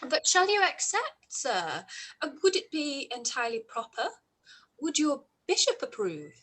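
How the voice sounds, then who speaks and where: A woman as a high-voiced, witty young gentlewoman. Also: very animated, measured pace, heavily acted, rough recording. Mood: teasing. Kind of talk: period radio play live read.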